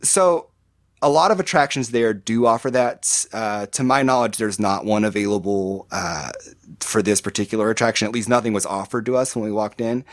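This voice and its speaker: Low-voiced man, dry sound. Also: normal speed, no echo. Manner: rambling, many fillers and restarts. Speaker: podcast guest. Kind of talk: casual conversational description.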